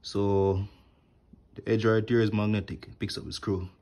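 A man who works as an HVAC technician, showing his tools in a relaxed home vlog and filming his hands with a phone. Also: on the low side, moderate pace, a little hiss or hum. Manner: relaxed, plain talk with many "like" and "right here". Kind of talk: casual show-and-tell vlog. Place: indoors at home, wooden floor. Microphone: phone camera held close, filming his hands.

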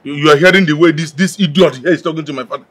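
A man's voice: sits high, expressive, a little fast, fairly bright tone. An actor with a Nigerian accent playing an indignant, confrontational young man defending his family. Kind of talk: Nollywood film acting.

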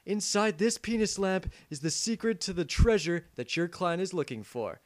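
A man's voice: sits high, expressive, normal speed. A man voicing a cocky, crude, reluctant adventure hero in an amateur parody game dub, with casual comic delivery. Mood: self-important.

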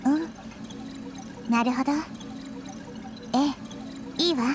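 A woman's voice: high voice, takes it slowly. A woman voicing a kind, wise little nature goddess in an anime game, girlish yet composed, with refined feminine phrasing. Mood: calm, attentive. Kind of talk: Japanese anime game voice acting. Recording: game music behind.

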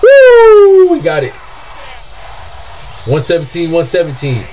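A young man streaming reactions to a basketball game from a small room, talking casually in slang over a desk mic. His deep voice jumps way up when he hollers and leaps around with big swings in pitch. A light hiss sits under the audio. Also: slow pace. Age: young adult. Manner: excitable, yelps and hollers, slangy trash talk. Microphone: webcam and desk mic.

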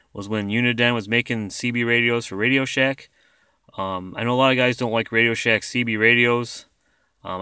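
Casual vlogger, American male, crisp bright voice, rambling and unpolished, dry close sound. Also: mid-range voice, no echo. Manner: rambling, self-deprecating. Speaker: vlogger, former truck driver. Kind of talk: casual product showcase vlog. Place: inside a parked vehicle, later outdoors beside it.

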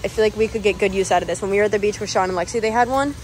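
A woman's voice: medium pitch, rapid-fire, a little hiss or hum. A woman in her twenties chatting casually on a handheld phone vlog, upbeat and full of "like" and "literally". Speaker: vlogger. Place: large warehouse store, indoors. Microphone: phone camera held at arm's length.

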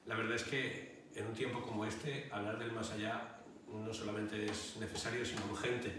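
A man in his forties from Barcelona with a Spain accent, explaining faith in a measured way as a priest being interviewed. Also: on the low side, flat delivery, fairly bright tone. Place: indoor hall with wooden bench. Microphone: small mic held out at arm's length by interviewer. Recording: very echoey room, distant, faint noise.

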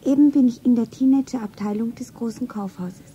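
An actress playing a slim, modest young shopgirl who dreamily narrates herself in a radio play, with a soft, breathy voice. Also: on the high side, brisk, dull audio, a little hiss or hum, lightly acted. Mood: dreamy, self-absorbed.

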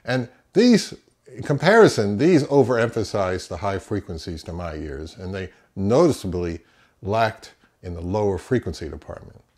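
A man's voice: lowish voice, sing-song, normal speed, a little mellow. A middle-aged man, around his fifties, giving a conversational product review as the host of an audio gear channel. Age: 50s to early 60s. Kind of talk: conversational, to camera.

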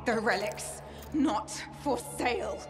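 High-voiced, defiant captive agent. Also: female voice, takes it slowly, over music. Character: defiant, frightened female agent guarding a relic. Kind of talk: video game cutscene acting.

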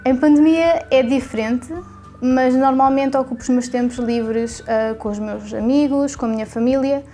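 A nineteen-year-old woman with a European Portuguese accent, listing her hobbies in a quick, composed way. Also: sits high, steady tone, warm tone, faint music behind. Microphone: lapel mic.

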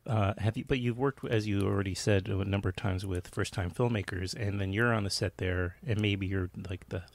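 Gravelly, low-pitched man. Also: fairly even intonation, a little fast, no room sound. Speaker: podcast host, interviewer. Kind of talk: casual interview chat.

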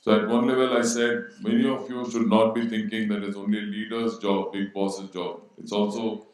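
Man, middle-aged, low voice, panel speaker, measured, rhetorical questions, handheld mic, very echoey auditorium. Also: level tone, darkish tone. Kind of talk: panel discussion. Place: large auditorium stage. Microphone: handheld microphone. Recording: very echoey hall.

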